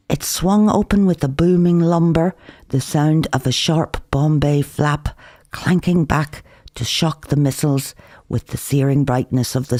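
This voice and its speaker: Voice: mid-range voice, darkish tone, no room sound, studio quality. A Northern Irish author reading their own novel in a performative, wry, colloquial way. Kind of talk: dramatic literary audiobook narration.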